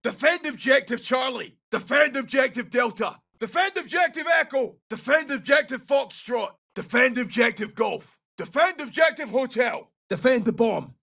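An actor playing a gruff, brash Scottish special forces soldier in a military shooter game, giving clipped, urgent tactical callouts in a rough, gravelly voice. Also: male voice, very high-pitched, animated, moderate pace, few pauses, bright, forceful tone, distant mic, heavily acted. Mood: urgent shouting.

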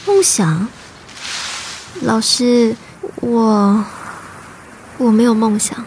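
A woman's voice: fairly high pitch, steady tone, extremely slow, darkish tone, a little hiss or hum. A woman acting an earnest, wistful teenage Vietnamese immigrant schoolgirl in a radio drama, both in dialogue and narration. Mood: dejected. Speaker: voice actor and narrator. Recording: music behind the voice.

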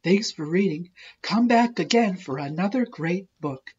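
A woman narrating a children's picture book in an expressive, measured storytelling way. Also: lowish voice, husky, lo-fi sound. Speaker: children's read-aloud host.

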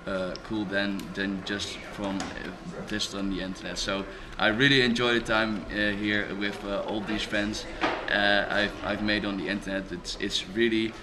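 A young man who hosts a skeptic podcast, talking casually at a conference in a large hall, halting with many fillers and restarts. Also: on the low side, little pitch movement. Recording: noisy hall background.

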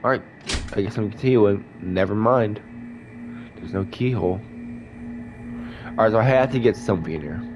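A man's voice: on the low side, moderate pace, pauses often, over music. A man with a stuffed-up nose, casually playing a game and reading its dialogue aloud. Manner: reads character lines in his own voice, chatty asides, congested. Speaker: gaming YouTuber.

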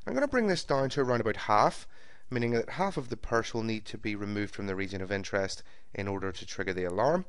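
A man giving a screen-recorded software tutorial, explaining each step in a steady, methodical way. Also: fairly low pitch, quick pace, clean tone, dry recording, studio quality. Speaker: software trainer. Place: voice-over recorded for a screen capture.

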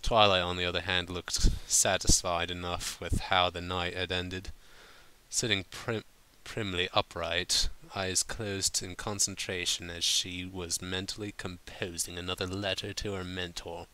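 Deep, bright-voiced man reading a story. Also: little pitch movement, a little slow, clear, resonant tone. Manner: stumbles over words, breaks off to comment. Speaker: fan fiction reader. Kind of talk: narrated story reading, informal.

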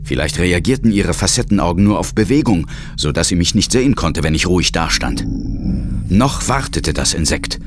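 A man's voice: low voice, big pitch swings, normal speed, noisy background. An actor as a tense male ghost hunter, narrating his ordeal in the first person with suspense. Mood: hushed suspense. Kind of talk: suspenseful scripted horror narration. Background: loud dramatic music and effects.

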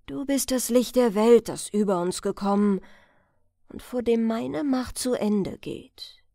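A woman's voice: a little high, unhurried, creaky, mellow, no room sound, clean studio sound. A woman voicing a kindly, motherly fairy spirit, reverent and solemn, in a storybook reading. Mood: reverent, moved. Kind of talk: fairy-tale audiobook character reading.

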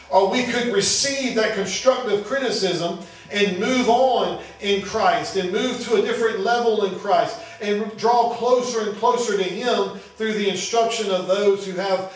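A middle-aged man preaching as a pastor, teaching the Bible earnestly and often repeating and rephrasing his phrases. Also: sits high. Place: small church room, indoors. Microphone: handheld microphone at a lectern. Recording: very echoey room, distant sound.

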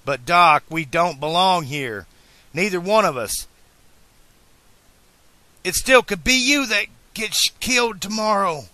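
A man voicing an earnest, excitable teenage boy in an audiobook, talking in an emphatic, colloquial, pleading way. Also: on the high side, unhurried, dry recording, voice pushed up. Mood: alarmed, pleading. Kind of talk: audiobook character dialogue.

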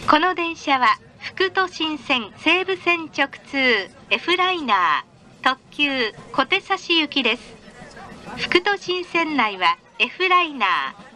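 A woman's voice: sits high, big pitch swings, takes it slowly, sharp tone. A woman making scripted train announcements in a polite, formal, carefully enunciated way. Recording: train announcement with clear vehicle noise. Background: moving train noise.

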